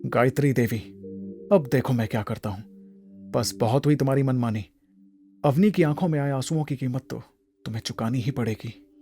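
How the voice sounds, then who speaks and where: A man's voice: medium pitch, moderate pace, long pauses, dark tone, faint music behind, natural voice. A man playing a protective, powerful husband and boss in an audio drama, stern and formal, with an angry edge. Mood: vengeful. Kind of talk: Hindi audio drama acting.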